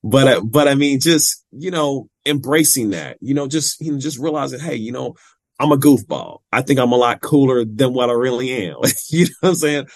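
A man's voice: medium pitch, moderate pace, no room sound, clean studio sound. A man in his forties from Kansas City, a writer and interview guest, speaking casually and earnestly with a stutter that makes him repeat words as he restarts. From Kansas City, Missouri. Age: 40s.

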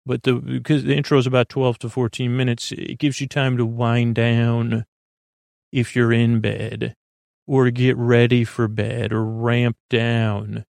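A man with a gravelly, fry-edged voice of middling pitch rambles as a sleep podcast host. He halts, doubles back and drifts between thoughts, reassuring and undemanding. The sound is close and dry, with no room around it. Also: flat delivery, a little mellow, no echo. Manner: meandering, halting, trailing off with repeated false starts; reassuring, low-pressure. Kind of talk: rambling, unscripted bedtime podcast monologue.

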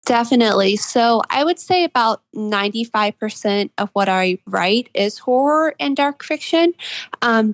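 A young woman of about twenty speaks as an interviewed author. Her voice sits at an average pitch and is recorded quite dry. She answers conversationally and thoughtfully, pausing often as she goes. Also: dry recording.

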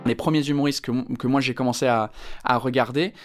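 A man's voice: mid-range voice, fairly even intonation, brisk, music in the background. Englishman speaking French with English accent. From England. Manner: hesitant, many fillers and restarts. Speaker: stand-up comedian. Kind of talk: interview answers.

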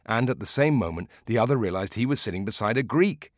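A man's voice: on the low side, lively intonation, dry recording, studio quality, voice pushed up. Guy doing an old war veteran from a storybook, voice pushed up, spins out his tale, rambly and dramatic. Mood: suspenseful. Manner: dramatic, drawn-out storytelling with pauses and lists. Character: old Greek war veteran beekeeper, maddeningly digressive storyteller.

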